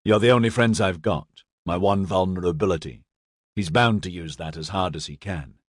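A man's voice: sits low, moderate pace, no room sound, studio quality. Man as a troubled, earnest young squad leader. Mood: quiet, grave. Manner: earnest, strained, defensive.

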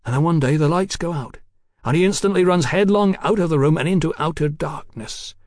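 A man voicing a drunk, earnest, educated gentleman who broods and pontificates in an audiobook story. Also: fairly high pitch, a little fast, no echo, studio quality, pitched above the performer's own voice, natural voice. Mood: intense, rambling.

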